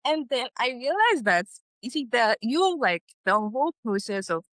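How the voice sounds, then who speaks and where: A woman from Ghana, an animated, chatty webinar panelist full of fillers. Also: a little high, moderate pace, no echo, clean studio sound. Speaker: webinar panelist, exam taker. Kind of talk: casual panel discussion.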